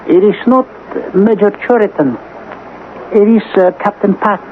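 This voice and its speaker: An actor playing a deferential, halting household servant in colonial India in a radio drama. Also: male voice, high-pitched. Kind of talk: old-time radio mystery acting. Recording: tinny, noisy old radio broadcast.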